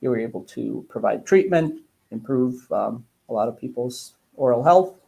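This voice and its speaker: A man in his thirties giving measured, casual interview answers with hesitant pauses. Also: mid-range voice, expressive, creaky, warm tone, husky, rough recording. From Bosnia, grew up in Germany and the United States. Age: 30s. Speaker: guest, dentist.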